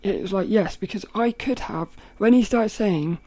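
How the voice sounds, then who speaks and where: A woman with a rough, cracking voice, hosting a podcast and thinking aloud in a rambling way, often laughing. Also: mid-range voice, normal speed, dark tone, dry recording. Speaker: podcast host. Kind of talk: casual reflective monologue.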